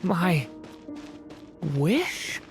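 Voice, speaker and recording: Casting: gentle dragon youth in a game drama, hesitant and earnest, slow delivery over music. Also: female voice, fairly low pitch, sing-song, full character voice. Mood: lost, searching. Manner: soft, hesitant, halting. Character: gentle, earnest young dragon boy searching for himself. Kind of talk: dramatic video game voice acting.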